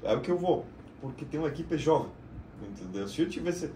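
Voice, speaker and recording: A middle-aged man from Argentina with an Argentine Spanish accent, a native Spanish speaker, talking about his work in a casual, slightly halting way as a podcast guest. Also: a little high, animated, dark tone, slight background noise. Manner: chatty, slightly halting storyteller. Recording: roomy echo, mic at a distance.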